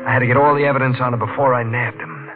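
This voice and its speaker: A man's voice: mid-range voice, steady tone, racing, phone-quality sound, background noise, close to an everyday voice. A man playing a tough, slangy police detective who narrates his own case in a 1940s radio crime drama. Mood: tense narrating. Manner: hardboiled, clipped slang. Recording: band-limited vintage radio, music behind.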